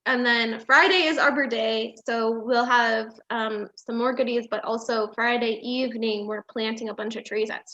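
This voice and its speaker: A woman's voice: fairly high pitch, fairly even intonation, normal speed, hardly any pauses, bright, forceful tone. A woman with an American accent casually hosting an event and interviewing a guest. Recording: dull, lo-fi online audio.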